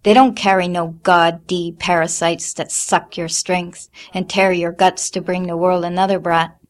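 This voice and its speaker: Audiobook figure: a coarse, snapping mother of a big brood, loud and curt, read by a woman. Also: mid-range voice, measured pace, lightly acted. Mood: scornful. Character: blunt harsh mother of twelve, middle-aged.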